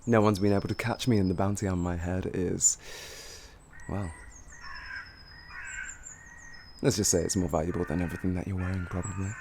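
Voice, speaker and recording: A man playing a cocky, flirtatious highwayman who teases and gloats in an improvised fantasy roleplay spoken to the listener. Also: fairly even intonation, fast talker, noisy background. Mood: boastful. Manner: teasing, gloating, chuckling, improvised hesitations.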